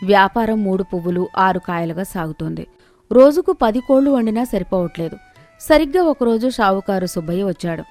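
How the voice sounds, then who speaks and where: A woman's voice: medium pitch, animated, brisk, darkish tone. A woman storyteller delivering scripted narration of a village moral tale. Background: music.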